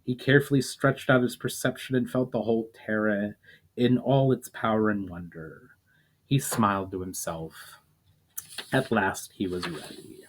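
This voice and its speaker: A man reading fantasy fiction aloud as a storyteller, in a dramatic audiobook style with measured phrasing that falls away at line ends. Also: on the low side, a little hiss or hum, rough recording. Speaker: author reading own fiction aloud, channel host.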